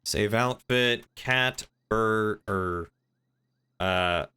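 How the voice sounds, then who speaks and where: A man's voice: lowish voice, drawn-out words, pushed and bright, clear, resonant tone. A man streaming a video game, chatting casually and musing aloud to himself as he plays. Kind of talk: casual livestream chat while gaming.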